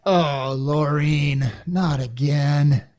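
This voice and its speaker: A woman's voice: low voice, steady tone, drawn-out words, darkish tone. A woman playing Frank's part as a flustered, henpecked married repairman, stammering nervously in a radio comedy. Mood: bashful panic. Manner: stammering, hesitant.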